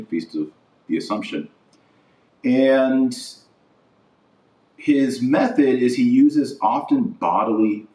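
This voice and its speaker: A man's voice: lowish voice, a little mellow. A man hosting an informal opinion podcast, speaking in a blunt, indignant way and repeating phrases for emphasis. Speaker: podcast host. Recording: roomy echo, distant from the mic.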